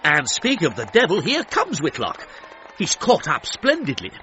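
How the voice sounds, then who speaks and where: An actor playing an excitable 1930s British sports commentator with a British accent, calling a race for radio in a clipped, descriptive way. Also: male voice, fairly high pitch, normal speed, a faint crowd, heavily acted. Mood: brisk. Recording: dull noisy vintage-style radio broadcast.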